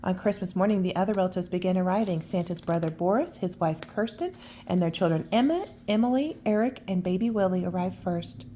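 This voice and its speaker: A woman's voice: on the low side, a little fast, warm tone, slight background noise. A woman reading a children's picture book at bedtime, adding folksy, cooing asides about the pictures. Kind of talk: bedtime read-aloud with casual asides.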